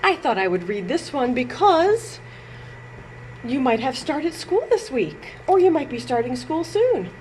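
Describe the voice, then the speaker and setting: High-pitched woman, unhurried pace, playful children's read-aloud and sing-along, speaks directly to kids, background noise. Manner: animated, playful, talks directly to young children. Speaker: children's storytime presenter at a library.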